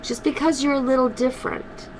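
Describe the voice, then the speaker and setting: An older woman reading a storybook aloud to children, animated, pausing for emphasis. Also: high voice, a little hiss or hum, rough recording. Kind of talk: expressive storybook read-aloud.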